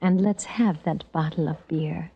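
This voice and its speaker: A knowing secretary in an old radio show, cool and teasing, muffled recording. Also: female voice, sits low, fairly even intonation, unhurried, heavy background noise. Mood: cool, probing. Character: vivacious, teasing, guarded young secretary with a secret. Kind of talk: old-time radio drama acting. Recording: dull vintage radio recording.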